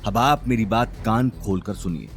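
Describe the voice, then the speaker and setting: A man's voice: lowish voice, normal speed, soft background music, close to an everyday voice. A man voicing an angry, contemptuous company executive in an audio drama, scornful, emphatic and commanding. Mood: stern, threatening. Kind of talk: Hindi audio drama acting.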